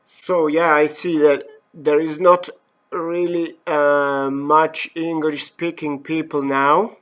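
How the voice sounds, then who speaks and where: A man's voice: a little high, little pitch movement, slow pace, fairly bright tone. A middle-aged man from German-speaking Switzerland with a Swiss German accent, a professional wood turner hosting a live-stream demo from his workshop in English, his third language, with many fillers and self-corrections.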